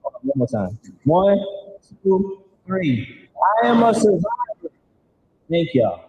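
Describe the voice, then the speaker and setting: Mellow-voiced man hosting a live event. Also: very animated, slow, highs cut off. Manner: encouraging, directive, rallies audience to respond. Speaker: event host. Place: live community event at a church. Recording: live recording with faint rumbling noise.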